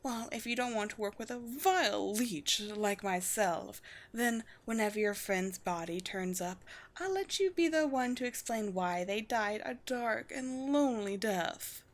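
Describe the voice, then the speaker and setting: A woman voicing a proud, wry vampire queen with a formal, measured, teasing manner in a scripted audio roleplay. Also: on the high side, animated. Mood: wry, commanding. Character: poised vampire queen, proud, wry, secretly gentle colony leader.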